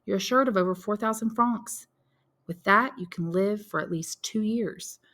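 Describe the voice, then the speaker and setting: A woman's voice: medium pitch, normal speed, fairly bright tone, pitched above the performer's own voice, close to an everyday voice. A woman voicing an earnest, resolute young man who firmly reassures his mother in an audiobook. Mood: reassuring. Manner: firm, reassuring, insistent. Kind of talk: audiobook character dialogue.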